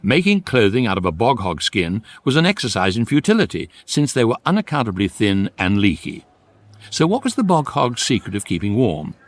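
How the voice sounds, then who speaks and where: An actor playing a wry, deadpan British narrator of a space guide, delivering measured scripted comic narration for radio. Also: male voice, lowish voice, no echo.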